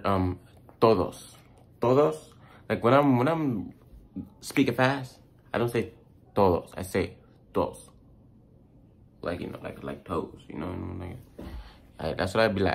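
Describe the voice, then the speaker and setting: A man with a fairly low voice hosts an informal pronunciation lesson. He is a native English speaker who explains foreign-language sounds in loose, slangy talk. He often repeats a word to demonstrate it. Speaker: host of a language tips video. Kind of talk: casual vlog-style pronunciation lesson.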